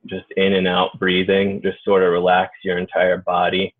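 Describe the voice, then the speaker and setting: A man leading an unscripted guided group meditation in an informal, halting way. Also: deep voice, monotone. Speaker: guided meditation leader and healer. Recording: muffled phone-like narrow-band audio.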